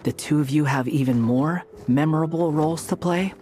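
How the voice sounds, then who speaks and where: A man's voice: fairly high pitch, a little fast, lightly acted. A man voicing an aloof, sarcastic young hat-wearing wanderer who speaks dryly and curtly, over game music. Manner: dry, curt and sarcastic.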